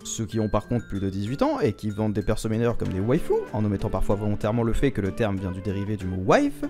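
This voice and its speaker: A man's voice: on the low side, lively intonation, fast talker. A man giving scripted, opinionated commentary in an emphatic, casually crude way, as host of a debate show. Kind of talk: scripted opinion commentary staged as a mock trial. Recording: music behind the voice.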